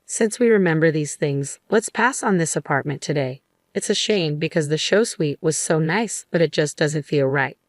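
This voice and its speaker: A woman's voice: low voice, normal speed, dry recording, clean studio sound, natural voice. A woman voicing a sensible, outspoken wife in a narrated story, conversational and plain-spoken. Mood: thoughtful.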